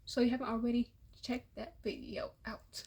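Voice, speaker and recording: A young adult woman, a tarot reader losing her voice, giving a casual, chatty reading that repeats itself and drops into soft murmurs. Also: on the high side, level tone, fairly quick, breathy edge. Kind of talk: casual tarot reading for all zodiac signs.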